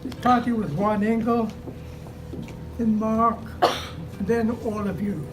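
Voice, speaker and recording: A man's voice: sits high, fairly even intonation, takes it slowly. Older man giving a retirement speech. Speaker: retiring physician giving thanks. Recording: distant mic with loud music.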